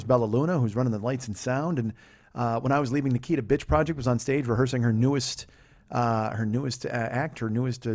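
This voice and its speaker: Rambling, profane comedian in his forties. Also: medium pitch. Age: 40s. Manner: loose and profane, trails off into fillers and restarts; self-mocking. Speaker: comedy podcast host. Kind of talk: casual rambling monologue.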